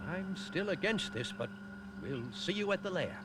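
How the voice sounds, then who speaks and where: A man's voice: high voice, expressive, moderate pace, heavy background noise. A man playing a loyal, earnest pilot sidekick in an action cartoon. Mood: urgent, concerned. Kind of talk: animated action series dialogue.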